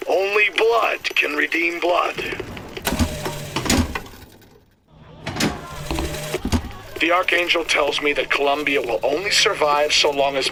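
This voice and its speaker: A man's voice: on the high side, creaky, far from the mic, full character voice. An older man playing a grandiose religious prophet and tyrant in a video game, with a solemn, pompous preacher's delivery. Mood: brooding, solemn. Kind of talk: dramatic sermon-like video game acting. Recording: roomy, reverberant, music and noise behind.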